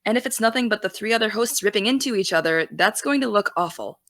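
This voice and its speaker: A young actress playing an earnest high school girl who wants to be a journalist, defensive and dry. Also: animated, fast talker, fairly bright tone, dry recording. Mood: pleading. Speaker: student podcast host. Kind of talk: audio drama.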